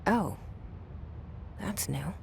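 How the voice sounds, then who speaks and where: An actress playing a guarded, determined, wry young heroine in a video game. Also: female voice, lowish voice, animated, extremely slow, vocal fry, a little mellow, slight background noise, heavily acted. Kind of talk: video game voice acting, inner monologue.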